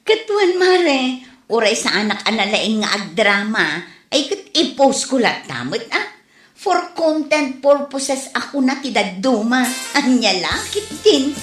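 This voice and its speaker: A woman's voice: high voice, sing-song, measured pace, faint music behind. A woman with an Ilocano Filipino accent playing a dramatic, proud aspiring vlogger in a comedy audio drama. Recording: roomy, echoing home recording.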